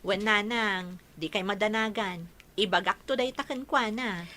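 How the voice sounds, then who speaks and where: A woman's voice: mid-range voice, unhurried, sharp tone. A woman playing a respectful, coy young village daughter in love, in a radio comedy drama.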